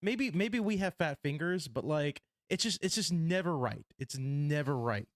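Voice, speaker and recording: A man's voice: fairly high pitch, lively intonation, moderate pace, no room sound, studio quality. A man co-hosting and producing a podcast, teasing his co-host in casual chat. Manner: teasing, jokey.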